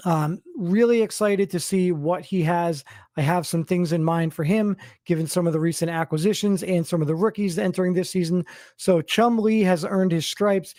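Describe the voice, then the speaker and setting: A man hosting as a sports team general manager, announcing to followers and stressing names and stats with deliberate emphasis. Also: sits high, a little mellow, no room sound, studio quality.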